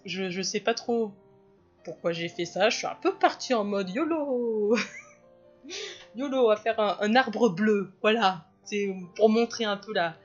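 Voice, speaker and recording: A woman's voice: mid-range voice, takes it slowly, highs cut off, soft background music. A woman chatting casually as she talks through her illustration, rambling into asides and laughing often. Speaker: illustrator and video host. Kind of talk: casual drawing commentary.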